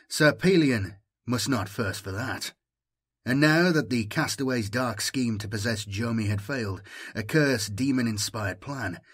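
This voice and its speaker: Male audiobook narrator, husky tone, dramatic storytelling delivery. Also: medium pitch, a bit bright.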